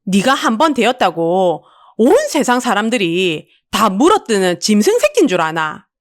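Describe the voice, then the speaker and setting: Lady doing gruff busan street food guy, bossy but caring, lively scolding, audiobook story. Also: medium pitch, moderate pace, close to an everyday voice. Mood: firm, intense. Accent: Busan Gyeongsang dialect. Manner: brusque, scolding, blunt. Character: gruff, burly, secretly caring male pancake vendor.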